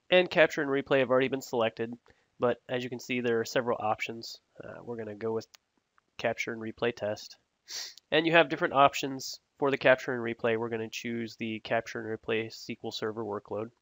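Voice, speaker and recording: A man giving a step-by-step software tutorial over a screen recording, steady and explanatory, with frequent "uh" fillers. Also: medium pitch, dry recording. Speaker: product technologist giving a software demo.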